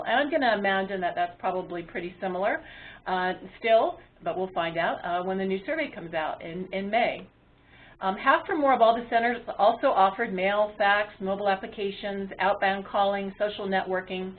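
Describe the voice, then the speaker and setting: Woman presenting over a phone-like line. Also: medium pitch. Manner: halting delivery with frequent ums and uhs. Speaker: webinar presenter and moderator. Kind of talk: webinar presentation, explaining to an online audience. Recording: muffled, phone-like webinar audio line.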